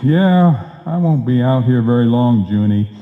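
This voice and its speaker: A man's voice: medium pitch, expressive, a little slow, natural voice. A man playing a bumbling, boastful husband in an old-time radio comedy, talking in folksy, slangy wisecracks. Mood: wisecracking, dismissive. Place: live performance in a reverberant hall. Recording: echoey hall, dull live stage sound.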